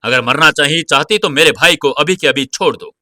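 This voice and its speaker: A man's voice: a little high, a little fast, pushed and bright, no echo, clean studio sound. A man playing a cold, threatening bank robber in a dramatized audio story. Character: menacing one-eyed male bank robber, cold.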